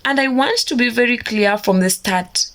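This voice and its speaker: A woman narrates a reaction video from a script, setting out her points calmly and deliberately. Her voice sits at a middle pitch. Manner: measured, explanatory. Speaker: reaction channel host.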